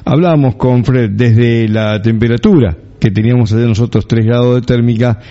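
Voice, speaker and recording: A man presenting on radio in a measured introductory style. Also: mid-range voice, fairly even intonation, mellow. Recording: slightly muffled, dull sound.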